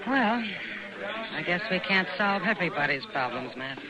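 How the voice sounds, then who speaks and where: An easygoing, worldly woman of a frontier saloon, played by an actress in vintage radio drama. She talks in a relaxed, knowing, wry way, with a busy crowd behind her on an old recording. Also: lowish voice, moderate pace, lightly acted, poor audio. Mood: reflective. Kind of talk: old-time radio western acting.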